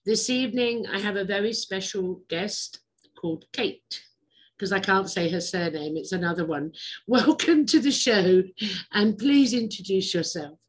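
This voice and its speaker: A woman hosting an interview show, chatty and digressive, quick to laugh. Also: mid-range voice, expressive. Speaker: host. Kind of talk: casual interview chat.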